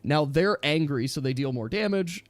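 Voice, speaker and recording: A man's voice: fairly high pitch, animated, normal speed, no room sound. A man chatting casually as a game master, with an enthusiastic, rambling way of explaining things to his players.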